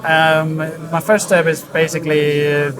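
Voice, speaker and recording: A man explaining his work as a design director in an interview, in a halting, thoughtful way. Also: fairly high pitch, little pitch movement. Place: busy hi-fi trade show hall. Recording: crowd chatter around the voice. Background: crowd chatter.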